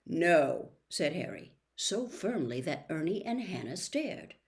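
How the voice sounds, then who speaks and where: A woman reads a story aloud in an expressive audiobook style. Her voice sits on the low side for a woman. Her pitch moves widely and keeps the narration animated. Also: a little slow. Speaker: audiobook reader.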